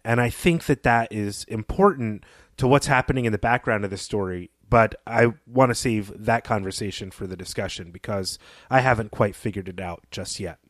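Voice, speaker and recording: A man co-hosting a podcast, talking through a story in an analytical, measured way. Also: lowish voice, no echo, clean studio sound. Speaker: podcast co-host. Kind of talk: literary discussion of a story.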